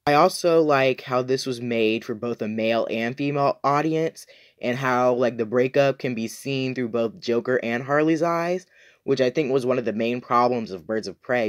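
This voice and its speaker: Man giving a casual, opinionated review. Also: mid-range voice. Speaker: YouTube reviewer, host. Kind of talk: casual review talk to viewers.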